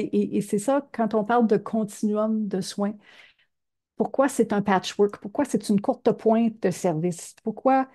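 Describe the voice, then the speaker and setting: A woman's voice: mid-range voice, mellow. An older woman, giving an earnest talk to seniors with many fillers. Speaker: presenter.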